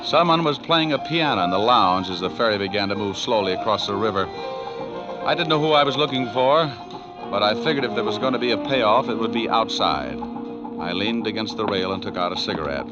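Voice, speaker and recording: An actor playing a tough, wisecracking private detective in a 1940s radio drama, with an American accent and a wry, clipped delivery. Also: male voice, on the low side, lively intonation, a little fast, lightly acted. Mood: hard-boiled, wry. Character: tough wisecracking male private detective narrating his case. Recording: muffled old 1940s radio recording, music behind.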